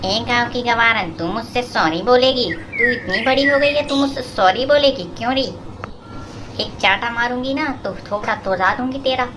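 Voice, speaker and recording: A woman's voice: fairly high pitch, moderate pace, close to an everyday voice. A woman playing a haughty, scheming young woman who talks with scolding contempt in a moral story. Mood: scornful, threatening. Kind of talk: acted moral story for cartoon. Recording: clear background noise with birdsong.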